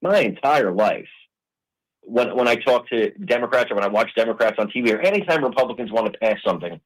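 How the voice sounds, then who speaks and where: A man's voice: medium pitch, expressive, quick pace, slightly rough, poor audio. A man in his forties, a blunt, streetwise guest arguing in a heated political debate. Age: 40s.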